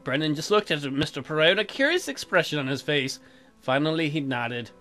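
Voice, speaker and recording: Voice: medium pitch, fairly quick, faint music behind. चुलबुला, बेबाक गेमर, उतार-चढ़ाव भरी तीखी आवाज़. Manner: irreverent, crude, animated. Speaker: let's player. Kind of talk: joking playthrough commentary and dramatic read-aloud.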